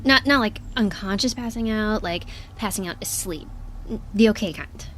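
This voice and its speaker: An actress as a young woman looking after an injured crewmate in a sci-fi audio drama. Her voice sits at a medium pitch, earnest and fussing, with exasperated care and dry humor. There is faint noise in the background. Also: moderate pace, a bit bright, close to an everyday voice. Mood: reassuring.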